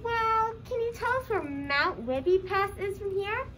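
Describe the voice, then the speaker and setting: A woman's voice: extremely high pitch, expressive, measured pace, a bit bright, exaggerated voice. A young female student voicing a puppet character in a scripted comic puppet show. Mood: puzzled, exasperated. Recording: faint background noise.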